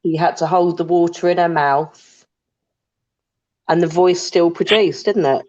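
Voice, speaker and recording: A woman with a deep voice co-hosting a podcast, chatting bluntly and casually, sometimes swearing. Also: steady tone, a little slow, darkish tone. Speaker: podcast co-host. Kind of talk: casual chat about a paranormal case. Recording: dull lo-fi sound.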